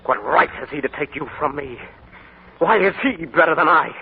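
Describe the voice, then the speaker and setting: A man's voice: fairly high pitch, expressive, normal speed, a little hiss or hum. An actor playing a jealous, hard-drinking young man, brooding and pleading, in 1940s radio acting. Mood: desperate. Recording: tinny 1940s radio broadcast.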